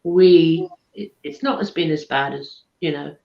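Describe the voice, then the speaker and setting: A posh southern English woman talks in a relaxed, chatty way on a live show, dropping in "darling" as she goes. Her voice sits a little low. The sound is dull and lo-fi, with a roomy echo around her. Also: measured pace, vocal fry. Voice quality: posh, calls people darling. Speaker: medium and chat show co-host. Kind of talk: casual live chat. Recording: echoey room, dull lo-fi sound.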